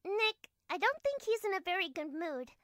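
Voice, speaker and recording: A woman playing an excitable, loyal teenage girl sidekick who blurts out questions in an anime-style audio drama. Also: extremely high pitch, moderate pace. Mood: hesitant.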